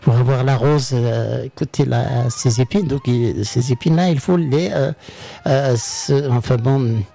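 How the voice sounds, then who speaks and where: Man, non-native French speaker, radio host, slow halting delivery, gravelly, soft music underneath. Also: mid-range voice. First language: not French. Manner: halting, searching for words. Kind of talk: reflective radio talk. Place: radio studio.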